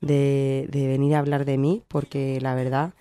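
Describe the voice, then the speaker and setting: A woman's voice: extremely low pitch, little pitch movement, a little slow, dark tone, smooth. A woman from Cádiz with an Andalusian accent, chatting casually as an interview guest, effusive and often searching for her words. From Cádiz, southern Spain. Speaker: radio guest, short-film maker.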